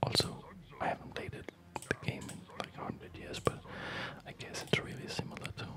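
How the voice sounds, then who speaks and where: Someone whispering breathy ASMR commentary while playing a video game, musing aloud in short, halting phrases. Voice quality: breathy, mostly unvoiced whisper. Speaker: gamer narrating own gameplay.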